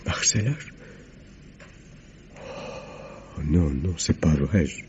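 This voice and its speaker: A man's voice: rumbling low, normal speed. An actor playing an agitated man in his thirties, a nervous murder suspect, in a stammering, hesitant old French radio drama style. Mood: distraught. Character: anxious stockbroker husband of 32, suspected of murder. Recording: dull, noisy vintage radio recording.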